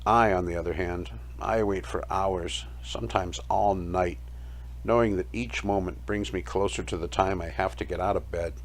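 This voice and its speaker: A man narrating a first-person dramatic story in a reflective, measured way, reading from a script. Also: low voice, fairly even intonation. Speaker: storyteller narrating his own audio play. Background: music.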